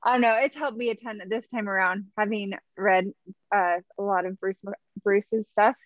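A woman's voice: medium pitch, steady tone, normal speed, no room sound. A woman in an online discussion group, chatting candidly and at length with many fillers over a video call. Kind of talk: casual online group chat. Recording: dull dry video call audio.

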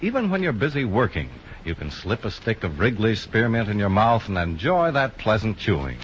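A man's voice: on the low side, sing-song, normal speed. A man announcing a 1950s radio commercial with a salesman's emphasis. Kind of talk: 1950s radio advertisement read. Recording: dull, noisy 1950s radio recording.

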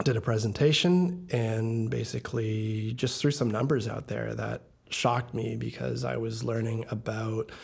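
A man's voice: medium pitch, fairly even intonation, a little slow, gravelly, few pauses, a little mellow, slightly rough. A man in his late forties with a Canadian accent, telling his story earnestly and emphatically as an interview guest. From Ontario, Canada. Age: late 40s. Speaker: interview guest, missions organization president. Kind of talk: conversational interview, personal storytelling.

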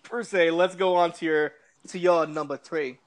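A man's voice: a little high, fairly even intonation, moderate pace. A man co-hosting a podcast in casual, conversational chat. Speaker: podcast co-host.

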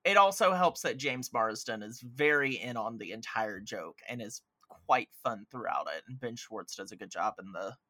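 Animated, bright-voiced man chatting casually. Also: mid-range voice, moderate pace, hardly any pauses, dry recording. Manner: animated, opinionated, profanity-prone, emphatic. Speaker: podcast host. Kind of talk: casual film discussion.

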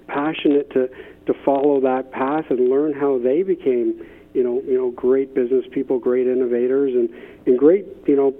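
A middle-aged man answering as an interview guest, earnest and halting, often repeating words as he thinks. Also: medium pitch. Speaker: interview guest, business thought leader. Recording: thin, phone-like call line.

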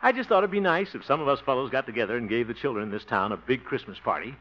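A man's voice: mid-range voice, expressive, quick pace, close to an everyday voice. An actor playing a jovial, self-pleased middle-aged man in a hearty, blustery, chuckling style of 1950s radio comedy. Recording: noisy, muffled old radio recording.

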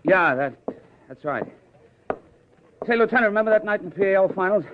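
An unpolished young New boxer, earnest and halting, his voice a little high. The sound is a narrow old radio recording with light noise. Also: lively intonation. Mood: uneasy, subdued. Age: young adult. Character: uneasy young prizefighter, earnest and none too polished. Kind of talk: 1950s radio drama acting.

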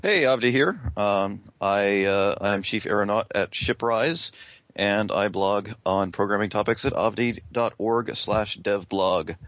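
Man, podcast panelist, deep voice, dull lo-fi sound, dry, halting then quick. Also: fairly bright tone, no echo. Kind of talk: casual panel podcast chat.

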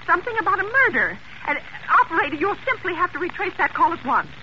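A muffled, faintly hissy old radio broadcast carries an imperious, frantic married woman. Her voice is piercing and wildly animated. She stammers indignantly and haughtily, as if nobody will obey her. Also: very high-pitched, fast, exaggerated voice. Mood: alarmed. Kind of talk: golden age radio drama acting.

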